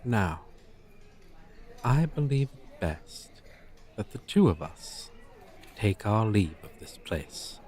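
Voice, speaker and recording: A man's voice: lowish voice, sing-song, takes it slowly, long pauses, a little mellow. A man voicing a proud, centuries-old dragon teacher with stilted, archaic, formal diction in an ASMR roleplay. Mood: gently amused.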